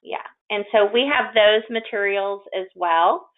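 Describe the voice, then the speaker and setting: A woman's voice: medium pitch, measured pace. A woman hosting a webinar informally, often rephrasing herself in a conversational, hesitant way. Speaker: webinar host and moderator. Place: online or phone conference call. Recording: tinny, phone-like call audio.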